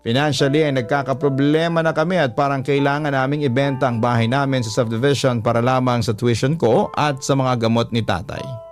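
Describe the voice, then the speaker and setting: A man working as a radio storyteller, narrating a listener's letter in the first person in a dramatic style. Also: mid-range voice.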